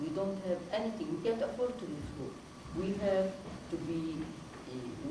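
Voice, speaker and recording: A low-voiced woman from Palestine speaks as a panelist, halting and earnest, with many pauses and fillers. She sounds far from the mic in a very echoey theatre hall, with noise under her voice. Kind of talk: panel discussion statement.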